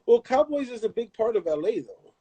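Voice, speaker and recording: A man's voice: very high voice, very animated, a little fast, warm tone, slightly rough, low-quality recording. A young man from Southern California, hosting a casual live chat, talking emphatically and repeating himself as he makes his point. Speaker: host.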